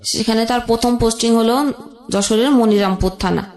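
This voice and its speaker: A young woman telling an emotional personal story as a guest, halting, with pauses and restarts. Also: fairly high pitch, steady tone, a little mellow, reverberant.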